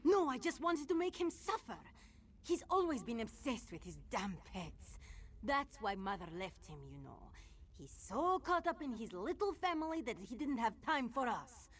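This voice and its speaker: A woman's voice: sits high, sing-song, moderate pace. Sneering, swooping female rogue. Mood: bitter. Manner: sneering, sardonic, theatrical. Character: bitter, defiant female cat-folk rogue, wronged daughter.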